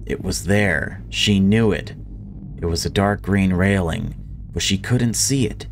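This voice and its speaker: A man's voice: deep voice, a little slow, slight background noise. A man reading visual novel story text aloud and adding casual commentary as a gaming video creator.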